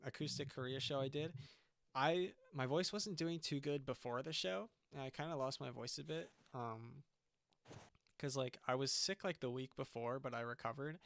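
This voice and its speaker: A man's voice: mid-range voice, gravelly, fairly bright tone, clear, resonant tone, dry recording, clean studio sound. A young man, a band's singer, chatting casually on a livestream and often stopping to restart his sentences. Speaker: singer and band frontman.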